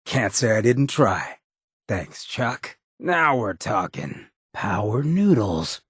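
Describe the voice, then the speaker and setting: An actor playing a swaggering, sardonic ghoul gangster companion in a video game, with a gravelly rasp and a wry, streetwise drawl. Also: male voice, on the low side, big pitch swings, slow, no echo, studio quality, heavily acted. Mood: easygoing, playful. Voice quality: gravelly, raspy.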